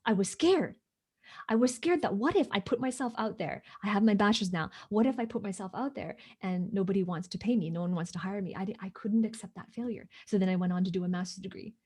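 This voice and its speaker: A woman's voice: medium pitch, quick pace, darkish tone, husky. A woman from Hong Kong, not a native English speaker, telling her story as an interview guest, emphatic and often asking "right?". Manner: emphatic, repeats "right?" for agreement.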